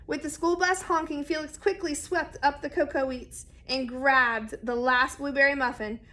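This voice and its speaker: A woman reading a children's picture book aloud expressively and deliberately, speaking straight to young listeners. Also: high-pitched, steady tone, pushed and bright. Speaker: read-aloud storyteller.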